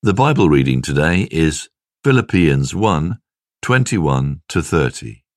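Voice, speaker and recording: A man reading a scripted devotional in a measured, clearly phrased way. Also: rumbling low, sing-song, no echo, studio quality. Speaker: devotional reader.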